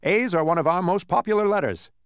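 A man as a lively, confiding market seller. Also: sits high, quick pace, smooth, dry recording, studio quality, pitched above the performer's own voice. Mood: confiding, enthusiastic. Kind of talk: animated storybook character reading.

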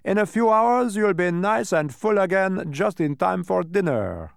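A man's voice: high voice, animated, measured pace, creaky, smooth, dry recording, clean studio sound, pitched above the performer's own voice, exaggerated voice. A man voicing a cheerful, smug geometric creature that explains things eagerly in a storybook. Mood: sympathetic. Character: cheerful, smug, many-faced dodecahedron creature.

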